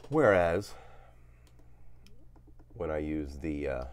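A man in his forties, a guitar channel host, talking casually through a gear demo in a relaxed, rambling way with lots of fillers and a lingering cough. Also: a bass voice, slow. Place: home studio with guitars on wall.

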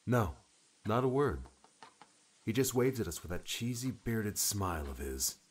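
Man acting a quiet, low-voiced grieving young man. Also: very animated, unhurried, slight background noise. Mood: earnest, shaken. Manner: quiet, muttering, earnest. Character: earnest, grieving young man clinging to hope. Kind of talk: audiobook character acting.

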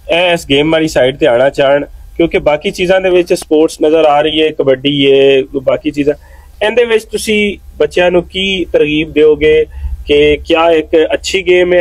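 Polite interviewer, dry outdoor sound. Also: male voice, fairly high pitch, no echo, low-quality recording. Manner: polite, long winding questions, frequent "mashallah". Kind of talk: interview questions. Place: outdoor lawn at night.

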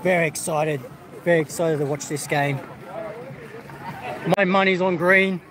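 Australian man, middle-aged, high-pitched, rambling live-chat host with a crowd around him. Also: fairly even intonation, unhurried. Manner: rambling, full of fillers and restarts, greets viewers. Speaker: livestreamer. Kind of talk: casual livestream chat. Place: outdoors at a football carnival. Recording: crowd noise behind.

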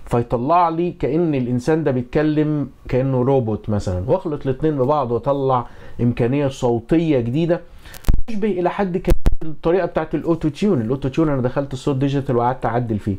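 A man's voice: mid-range voice, very fast, mellow, a little hiss or hum. A middle-aged man with an Egyptian accent explaining in an informal, conversational tutorial style, posing rhetorical questions as an instructor. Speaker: music and audio engineering instructor. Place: small home music studio, indoors.

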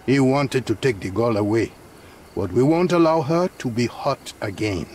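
An actor playing a protective, middle-aged refugee man in a game, grave, wary and measured. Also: medium pitch, expressive, a little mellow, lightly acted. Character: guarded, protective male refugee leader. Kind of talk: dramatic game cutscene dialogue. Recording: faint night insect noise behind.

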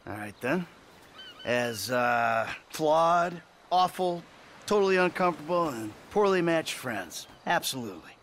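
A middle-aged American crook in a game cutscene, sardonic and world-weary. His fairly high voice swings widely as he speechifies with mock gravity, over faint outdoor noise. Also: lively intonation, measured pace, a bit bright. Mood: weary, dry. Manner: wry, speechifying sarcasm. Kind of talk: video game cutscene acting.

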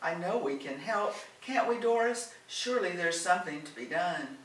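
Male narrator as older woman, storybook character, insistent, pleading, warm-hearted, bright, distant mic. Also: sits high, lively intonation, normal speed, echoey room, voice pushed up.

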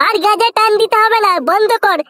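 Man doing a squeaky, very high-pitched young cartoon guy. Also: fairly even intonation, brisk, bright, forceful tone, no echo. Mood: scolding. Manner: crude, chiding slang. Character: scolding young Bengali village son-in-law, foul-mouthed. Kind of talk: comic cartoon dubbing.

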